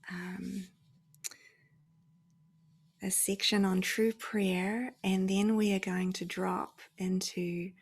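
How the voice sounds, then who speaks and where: A woman leading a guided meditation, softly and gently, often hushed to a near-whisper, with long pauses between phrases. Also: mid-range voice, husky.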